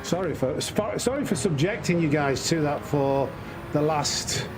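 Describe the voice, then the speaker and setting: A man's voice: on the high side, lively intonation, measured pace, music in the background. A man giving casual live commentary as he plays a racing game, critiquing his own driving and chatting to viewers. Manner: muttering self-critique, addresses himself and viewers. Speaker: live streamer hosting a sim racing stream. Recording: clear engine noise behind voice. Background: racing game car engine sounds.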